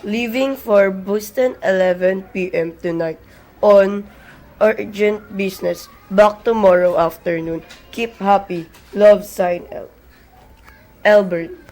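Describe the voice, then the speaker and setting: A young woman playing a businesslike telegraph clerk in an amateur radio play, reading formally and deliberately. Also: medium pitch, fairly even intonation, darkish tone, rough recording.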